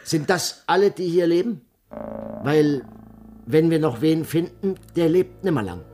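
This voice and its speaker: An actor playing a menacing, jealous German army sergeant in a radio drama, barking threats with an Austrian-Bavarian accent. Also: male voice, on the high side, wildly swooping pitch, unhurried, warm tone, faint music behind, full character voice. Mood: barking, threatening. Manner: barking orders, threatening, taunting.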